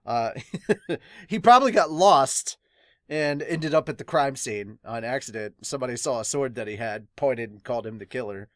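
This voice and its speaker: Man, medium pitch, casual reviewer, rambling, excitable, laughs easily, very dry recording. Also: moderate pace, no room sound. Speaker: host of a manga review channel. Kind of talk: casual reaction and review talk.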